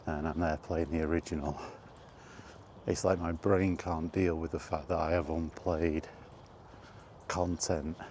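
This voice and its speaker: Man, mellow bass voice, slow pace, casual rambling chat, hesitant, faint outdoor noise. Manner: hesitant, meandering, thinking aloud. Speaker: gaming content creator and podcaster.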